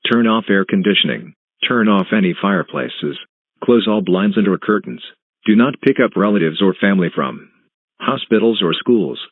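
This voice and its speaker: A man reading a scripted emergency broadcast announcement in a formal, flat, instructional manner. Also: low voice. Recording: tinny phone-like band, echoing.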